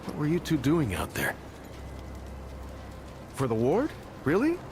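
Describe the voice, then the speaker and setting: An actor playing an earnest, fretful settlement mayor with a halting, solicitous manner in a video game cutscene. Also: male voice, a little high, wildly swooping pitch, noisy background, heavily acted. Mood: awkward, friendly.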